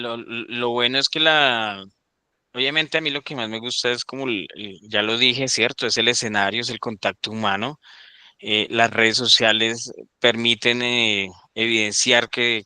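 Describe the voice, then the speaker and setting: Crisp, bright-voiced Colombian man. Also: medium pitch, no room sound. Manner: hesitant restarts, tags remarks with "¿cierto?". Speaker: comedian guest. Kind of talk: casual interview answer.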